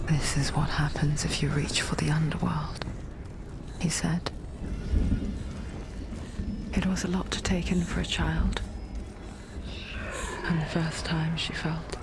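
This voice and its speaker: A woman voicing an intimate, sorrowful narrator in a video game, confiding in a hushed, whispered style. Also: low-pitched, gravelly, full character voice. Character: soft-spoken female narrator voice, intimate and sorrowful.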